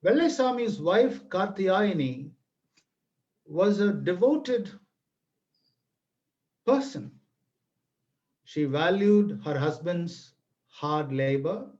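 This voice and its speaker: A middle-aged man with an Indian accent and Tamil as his first language, presenting and narrating in a deliberate, theatrical storytelling style with emphatic repetition. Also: high-pitched, very animated, a little mellow. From Tamil Nadu, India. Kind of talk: theatrical read-aloud storytelling in an online lesson. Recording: dull, lo-fi online webinar audio.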